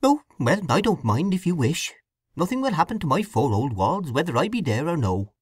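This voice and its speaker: A woman's voice: low-pitched, sing-song, normal speed, breathy edge, no echo, studio quality, pitched above the performer's own voice, full character voice. A woman voicing a kindly old widow with a rural English dialect, homely and soothing.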